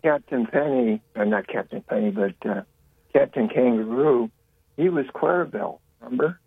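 A man calling in for a casual chat, speaking in a halting, unsure way. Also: mid-range voice, husky, dry recording. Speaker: caller. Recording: tinny phone line.

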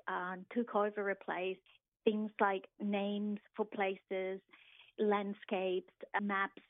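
A middle-aged woman with an Argentine accent, a native Spanish speaker, explains her field in an interview. Her delivery is reflective and measured. The sound is dry but dull and narrow in band. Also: medium pitch, no echo. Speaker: interviewed university lecturer. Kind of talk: thoughtful radio interview.

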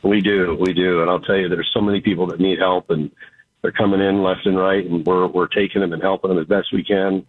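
A man calls in to a radio show as a guest, his voice raspy and low. He sounds relaxed and thankful, stumbling over words and leaning on fillers. The line is dry and tinny, like a phone call. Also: fairly quick, no room sound. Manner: relaxed, grateful, hesitant with many fillers. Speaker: company founder, radio guest. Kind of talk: casual radio interview.

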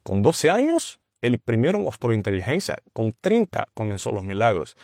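A man with a Brazilian Portuguese accent talks earnestly about faith and education, his voice sitting in a middle pitch. He sometimes stumbles and repeats words as he reaches for them. The recording is dry and close. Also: very animated, moderate pace, darkish tone, dry recording. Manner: earnest, repeats words while searching for Spanish. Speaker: guest minister and educator. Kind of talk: conversational interview on faith.

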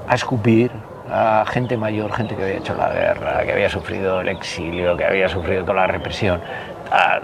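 A man's voice: fairly low pitch, creaky. A man, telling rambling stories with casual swearing as an interview guest outdoors on a city street. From Basque Country. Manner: rambling, digressive, peppered with casual swearing and "y tal". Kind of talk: casual conversational storytelling. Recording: noisy outdoor street with traffic. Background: traffic and city ambience.

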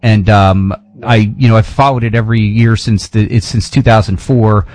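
A man's voice: lowish voice, steady tone, moderate pace, a little mellow, no echo. An American man hosts a morning radio talk show from a dead, dry studio. He rambles in a friendly way, trailing off and restarting his sentences, with plenty of ums along the way. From United States. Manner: rambling, many fillers and restarts. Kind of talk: casual radio interview chat.

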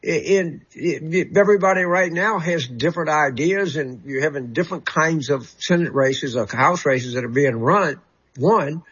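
A male political commentator answers callers with a husky voice. He halts often and repeats words as he searches for what to say. The sound is a little dull and muffled. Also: a little high, fairly bright tone. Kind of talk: call-in talk show guest.

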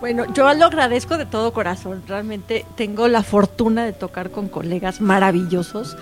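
A woman with a Mexico City accent speaking as a musician guest in a casual interview, telling things in an expressive, emphatic way. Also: mid-range voice, moderate pace, faint music behind. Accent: Mexico City Spanish. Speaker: guest, classical violinist and concertmaster.

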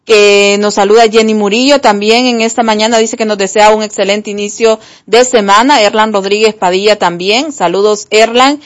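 A woman's voice: mid-range voice, fairly even intonation, normal speed, hardly any pauses. Woman hosting a live show. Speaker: host reading viewer messages. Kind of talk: live broadcast presenting, reading out audience greetings and questions.

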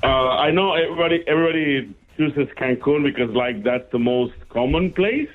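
A man's voice: a little high, moderate pace, phone-quality sound. A man from Mexico calling a radio show, chatting casually and joking, laughing easily. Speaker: caller. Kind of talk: casual phone-in chat.

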